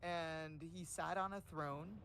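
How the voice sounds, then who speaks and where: A man recalls community events in loose, casual livestream chat. His voice sits fairly high, and he laughs easily and often between his ums. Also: steady tone, slow pace, a little hiss or hum. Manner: chatty, laughs often, many fillers; says he has a louder voice than most.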